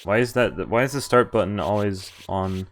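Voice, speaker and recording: Low-voiced man streaming a game. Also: moderate pace, a little hiss or hum. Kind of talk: live playthrough, reading on-screen story text aloud. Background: game music.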